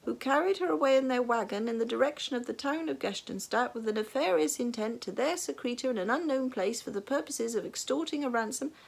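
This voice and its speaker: Lady doing a loud bossy sergeant guy, high and shouty, raspy edge. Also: huge pitch swings, slow, few pauses, heavily acted. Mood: pompous. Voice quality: bellowing. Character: pompous loud male sergeant, officious.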